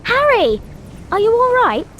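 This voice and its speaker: A woman's voice: extremely high pitch, huge pitch swings, measured pace, a bit bright, a little hiss or hum, heavily acted. An actress playing a bossy, bookish young schoolgirl witch in a video game, with an emphatic, lecturing way of talking. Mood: alarmed. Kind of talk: video game cutscene acting.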